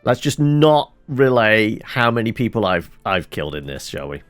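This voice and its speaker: A man's voice: fairly low pitch, sing-song, moderate pace. A man hosting a let's play, who rambles and corrects himself as he mutters through his inventory. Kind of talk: casual gameplay commentary. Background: game music.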